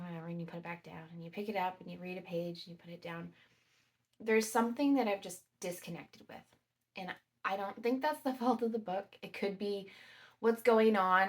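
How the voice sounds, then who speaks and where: A woman giving a casual, reflective book review to camera, speaking thoughtfully in phrases broken by pauses. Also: medium pitch.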